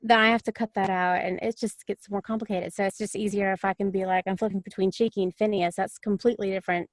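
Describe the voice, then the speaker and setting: A woman's voice: mid-range voice, fairly even intonation, quick pace, dry recording. A woman talking casually and chattily as an audiobook narrator on a panel.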